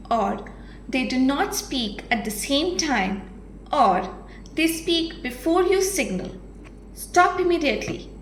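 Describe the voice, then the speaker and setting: High-pitched woman giving slow, lively instruction. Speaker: program manager training teachers. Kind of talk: instructional training talk. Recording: roomy echo, faint background noise.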